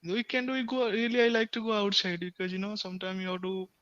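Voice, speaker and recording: Bright, high young Odia man. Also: level tone, quick pace, clean tone, dry recording, muffled recording. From Odisha, India. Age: young adult. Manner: hesitant, fillers, earnest. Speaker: English tutor. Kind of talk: casual video-call chat. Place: room with friends nearby. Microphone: phone.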